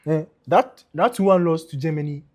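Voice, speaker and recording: A young Ghanaian man with a Ghanaian accent, co-hosting a casual football podcast, animated and dropping into pidgin. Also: on the high side. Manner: animated, mixes in pidgin, laughs often. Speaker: podcast co-host.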